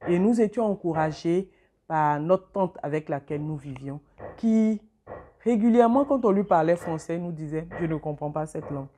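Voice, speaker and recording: Mellow-voiced woman, calm and reflective. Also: on the low side, normal speed, slight background noise. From Côte d'Ivoire. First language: Avikam. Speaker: interviewee, mother. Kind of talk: interview testimony.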